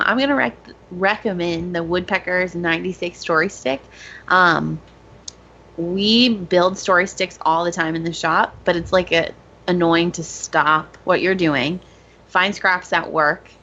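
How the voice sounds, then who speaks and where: A woman's voice: on the low side, steady tone, a little slow, pushed and bright, dull audio. A woman co-hosting a podcast in informal, enthusiastic casual chat. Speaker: podcast co-host.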